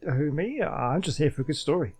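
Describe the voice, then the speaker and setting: A man's voice: fairly high pitch, lively intonation, fast talker, a little mellow, slightly rough, soft background music. Chatty man giving casual game commentary. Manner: hesitant, chatty, rambling. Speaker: gaming channel host. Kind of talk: casual let's play commentary.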